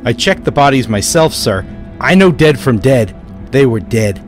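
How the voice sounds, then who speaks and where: An actor playing an anxious, insistent American army private in a war audio drama, blunt and pessimistic. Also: male voice, medium pitch, sing-song, moderate pace, soft background music, natural voice.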